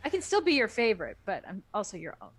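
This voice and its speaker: A woman's voice: medium pitch, huge pitch swings, rapid-fire, husky, no room sound. A woman co-hosting in casual banter, with a teasing, playful manner. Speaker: book club co-host.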